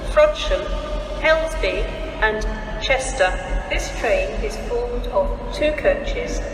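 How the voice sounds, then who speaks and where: A British woman making formal, measured, carefully enunciated train announcements over a station loudspeaker, in pieced-together phrases. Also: a little high. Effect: public-address loudspeaker. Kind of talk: scripted public-address train announcements. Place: railway station. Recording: echoey, distant station loudspeaker, loud background noise.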